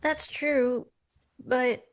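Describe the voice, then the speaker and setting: An actress playing a nervous, guarded young American woman, hesitant and halting, in a romcom table read. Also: high voice, fairly even intonation.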